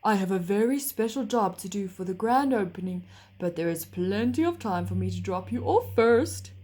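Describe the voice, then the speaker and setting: Woman voicing a gentle, kindly storybook horse, projected. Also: medium pitch, expressive, moderate pace, natural voice. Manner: courteous, kindly. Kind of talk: children's storybook character voice.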